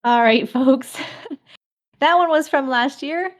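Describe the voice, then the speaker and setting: Higher-voiced woman hosting a casual online event. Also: slow pace, faint music behind. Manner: chatty, laughs often. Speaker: host of an online fan event.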